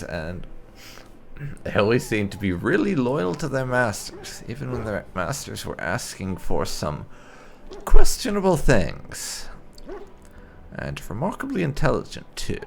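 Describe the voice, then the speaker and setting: A gaming YouTuber who talks through a roleplay playthrough with wry, chatty asides. His voice is low and gravelly, yet it swoops widely in pitch, with faint noise behind it. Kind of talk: roleplay let's play commentary.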